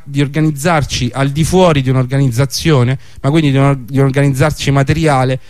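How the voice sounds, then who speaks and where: Slightly high pitched guy on radio, very dry sound, halting, keeps rewording stuff as he goes. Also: darkish tone, no echo. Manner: halting, circles back and restates phrases. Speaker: radio show speaker. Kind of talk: unscripted radio discussion.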